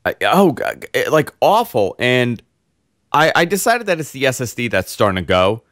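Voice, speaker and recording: Hoarse, congested man hosting a chatty show. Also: mid-range voice, normal speed, no room sound, clean studio sound. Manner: rambling, animated storyteller with many fillers. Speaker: host. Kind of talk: casual podcast chat.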